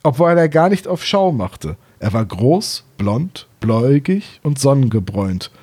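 A man's voice: mid-range voice, very animated, a little slow, a little mellow, husky. A man in his thirties from Germany chatting casually and enthusiastically as a podcast co-host, with a teasing streak. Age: 30s.

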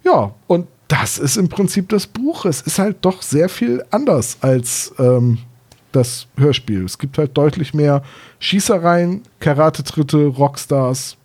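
A man's voice: on the high side, lively intonation, slow pace, darkish tone, slightly rough. A man chatting casually as co-host of a podcast about books and audio plays.

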